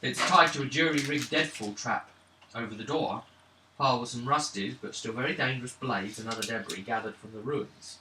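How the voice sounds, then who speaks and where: A man running a tabletop role-playing game as game master, describing scenes haltingly between bits of casual table talk. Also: mid-range voice. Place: gaming table in an echoey room. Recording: roomy, distant mic, faint noise and clicks.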